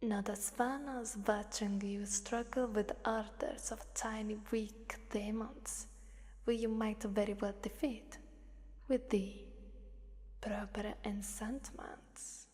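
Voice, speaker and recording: A woman voicing a menacing, bored and playful female demon in an ASMR roleplay, with a breathy, often whispering voice and teasing, condescending delivery. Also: medium pitch, steady tone, a little mellow, natural voice. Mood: threatening, amused. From Italy. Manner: slow, teasing, condescending, drawn-out pauses. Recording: long cavernous reverb.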